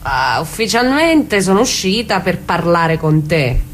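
Animated, dreamy younger sister. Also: female voice, on the low side, a little slow, slight background noise. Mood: playful. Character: dreamy, teasing younger sister, quietly defiant. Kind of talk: naturalistic radio drama dialogue.

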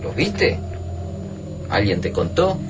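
A man's voice: fairly low pitch, sing-song, slow pace, music in the background. An actor with an Argentine accent playing a cautious, probing male resistance fighter in a radio drama. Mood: probing, intense. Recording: distant from the mic, noisy ambience.

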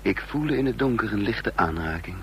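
An actor playing a haunted, confused man caught in espionage, narrating in brooding, halting fragments in a radio drama. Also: on the low side, lively intonation, natural voice. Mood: brooding unease. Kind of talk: Dutch radio drama acting, introspective narration. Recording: noisy old radio play recording.

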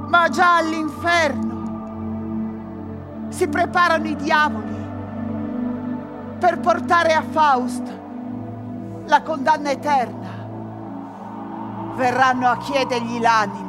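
Brief: portentous female chorus, high pitch, scripted tragic narration over prominent music. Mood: ominous. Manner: deliberate, portentous phrasing. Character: female chorus narrator, solemn and foreboding storyteller. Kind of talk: dramatic scripted narration, radio play.

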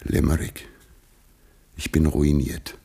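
Haughty ruined aristocrat, deep, husky, muttering languidly, tradition-bound, radio drama. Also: male voice, level tone, slow pace, dark tone, heavily acted. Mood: resigned.

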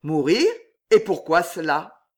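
A woman voicing a calm, gallant king. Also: low-pitched, slow pace. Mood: curious.

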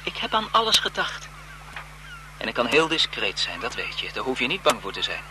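An actor playing a lovesick, desperate photographer in a melodramatic, impassioned radio thriller style. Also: male voice, medium pitch, huge pitch swings, a little fast, pushed and bright, heavily acted. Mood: earnest, devoted. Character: lovesick, desperate male photographer turning bitter and vengeful. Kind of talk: 1970s Dutch radio thriller acting. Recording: noisy 1970s radio drama broadcast.

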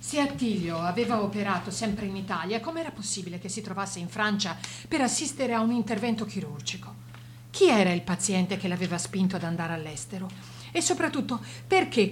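A woman's voice: mid-range voice, lightly acted. Actress as solemn grieving woman, humming noise behind. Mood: questioning, suspicious. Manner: solemn, measured, rhetorical. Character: grieving, defiant woman of a Sicilian victim's family. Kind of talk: radio drama monologue.

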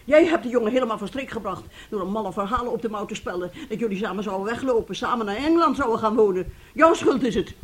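A woman's voice: a little high, animated, fast, few pauses, breathy edge. An actress in a Dutch radio play playing an indignant, protective middle-aged mother with a stern, reproachful, theatrical manner. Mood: indignant, scolding.

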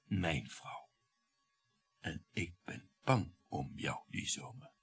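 Bitter sardonic bourgeois husband in a radio drama, husky, voice swings up and down a lot. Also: male voice, low voice, animated, slow pace, exaggerated voice. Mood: brooding, wistful. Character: bitter, sardonic French bourgeois husband reproaching his wife. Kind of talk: radio play dialogue.

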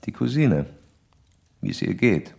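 An actor playing a self-assured psychoanalyst in a radio drama, speaking in measured, formal, didactic sentences. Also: male voice, fairly low pitch, sing-song, creaky, a little mellow, husky, heavily acted. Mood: clinical, probing. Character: male psychoanalyst doctor, clinical, probing, self-assured. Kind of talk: radio drama dialogue and letter monologue.

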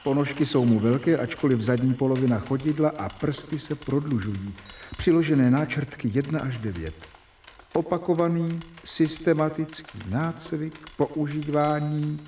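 An actor playing a young doctor who reads his research diary aloud in a measured, earnest way in a radio drama. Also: on the high side, dark tone, lightly acted. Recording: distant mic with faint hiss.

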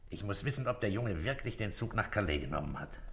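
An actor playing a brisk, methodical French police commissioner in a German radio crime drama, terse and businesslike. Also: male voice, on the low side, expressive, fairly bright tone. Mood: pensive, measured. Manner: terse, businesslike, thinking aloud.

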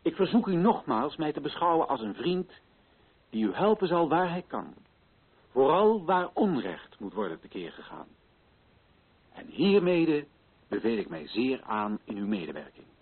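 A muffled, lo-fi old radio drama recording of an earnest colonial official. His voice is husky and swings widely in pitch as he declaims in formal, righteous, solemn phrases. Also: sing-song, measured pace, full character voice. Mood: formal, exhorting. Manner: declamatory, formal, rhetorical questioning. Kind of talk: theatrical radio drama oratory.

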